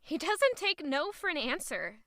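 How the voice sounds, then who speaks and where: A woman playing a coy young noble lady who is quick to deny things, in an audio drama. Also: high-pitched, sing-song, sharp tone, dry recording, heavily acted. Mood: flustered, coy.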